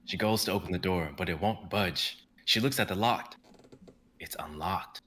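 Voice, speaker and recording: A young man narrating the stage directions of a dramatic reading in a steady, descriptive way. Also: low voice, normal speed, slightly rough. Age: young adult.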